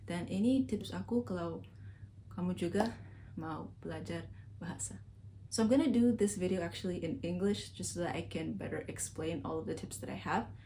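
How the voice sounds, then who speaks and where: A woman from Sudan talking casually to camera as a vlogger, chatty and relaxed with lots of little fillers. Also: lowish voice, fairly quick, slight background noise. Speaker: language-learning vlogger.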